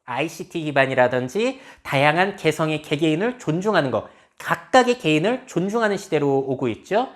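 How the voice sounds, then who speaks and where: A woman's voice: sits low, animated, brisk, hardly any pauses. A woman lecturing on social change, explaining step by step and asking her audience rhetorical questions. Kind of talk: lecture on future trends.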